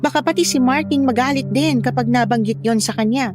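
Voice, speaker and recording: A woman's voice: high-pitched, brisk, music in the background, lightly acted. A woman with a Filipino accent playing a pregnant young wife who narrates her own horror story. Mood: uneasy, guilty. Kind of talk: first-person scripted horror story narration.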